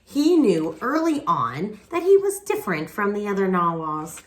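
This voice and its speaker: A woman reading a picture book aloud expressively, with dramatic pauses and casual asides to young listeners. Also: mid-range voice, huge pitch swings. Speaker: children's picture book reader.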